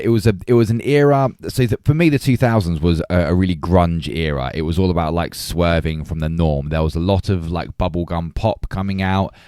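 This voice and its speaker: A man around forty co-hosting a casual film discussion, opinionated and animated in his delivery. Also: extremely low pitch, smooth, no room sound, clean studio sound. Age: 30s or early 40s. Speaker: podcast co-host.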